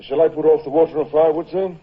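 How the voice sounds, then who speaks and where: Brisk, husky navy captain. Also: male voice, fairly high pitch, steady tone. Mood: puzzled. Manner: deferential, brisk. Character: dutiful, practical British navy captain, second-in-command. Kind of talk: old-time radio drama acting. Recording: muffled old-time radio recording.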